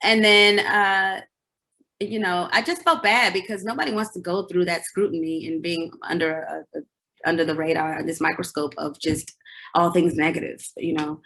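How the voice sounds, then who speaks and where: A woman's voice: fairly low pitch, normal speed. A woman in her forties, a singer as interview guest, telling stories in casual chat, laughing often and acting out what people said. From United States. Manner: animated storyteller, laughs often, acts out quotes.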